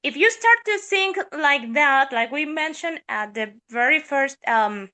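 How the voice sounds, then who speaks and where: A woman's voice: high voice, lively intonation, measured pace, sharp tone. A woman soprano discussing singing technique on a podcast, speaking thoughtfully with many hesitations. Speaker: soprano singer.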